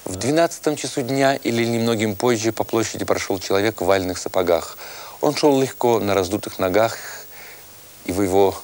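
A man reading a literary story as a narrator, expressively, with deliberate phrasing and pauses. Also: fairly low pitch, a little mellow. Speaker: narrator, actor reading an audiobook.

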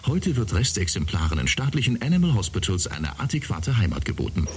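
A lively, ceremonious innkeeper. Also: male voice, lowish voice, a little fast, heavy background noise. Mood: announcing. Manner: polite, announcing, ceremonious. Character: smooth male innkeeper, announcer-like. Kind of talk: radio play acting.

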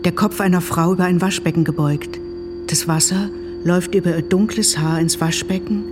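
An actress playing a detached, matter-of-fact narrator who reads screenplay-like directions in short phrases. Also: female voice, lowish voice, steady tone, normal speed, over music, lightly acted.

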